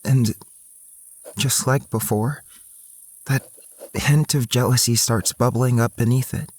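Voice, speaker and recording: A man's voice: mid-range voice, fairly even intonation, slow pace, a little mellow. An actor playing a self-conscious, dreamy young male student, narrating an audio drama in the first person with an earnest, introspective manner. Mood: wistful, conflicted.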